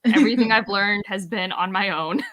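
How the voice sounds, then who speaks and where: A woman's voice: medium pitch, expressive, a little slow, fairly bright tone. A woman in her thirties from the United States, chatting casually as a book club host and laughing often at herself. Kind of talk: casual chat.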